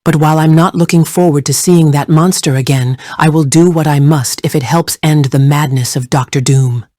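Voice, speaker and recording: A woman's voice: deep voice, steady tone, normal speed, mellow, no echo, studio quality, lightly acted. A woman playing a proud, determined superheroine in a video game, speaking formally. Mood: resolute. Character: proud, resolute adult superheroine. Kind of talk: scripted video game character acting.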